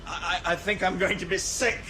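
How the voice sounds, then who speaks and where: A man's voice: sits high, little pitch movement, fairly quick, natural voice. An actor playing an earnest, troubled young outsider in a radio drama, questioning what he is shown. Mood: appalled. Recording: audible background noise.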